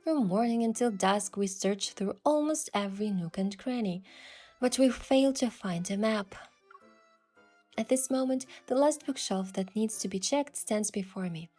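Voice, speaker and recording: A woman voicing a curious young adventurer heroine, telling the story in the first person with earnest, expressive delivery. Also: medium pitch, measured pace, close to an everyday voice. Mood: narrating, gentle. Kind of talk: scripted first-person narration and dialogue. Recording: music behind the voice.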